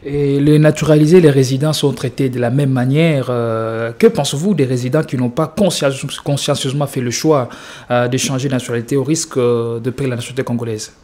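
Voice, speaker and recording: A man's voice: medium pitch, brisk, dark tone. A man hosting a news show, asking formal interview questions with hesitant fillers.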